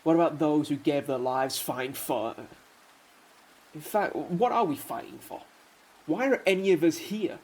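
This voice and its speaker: An actor playing a bitter, homesick soldier in a radio drama, brooding and full of rhetorical questions. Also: male voice, on the high side, a little slow, a little mellow, husky. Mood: bitter, resigned. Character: bitter, homesick young soldier, weary of war. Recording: faint hiss behind voice.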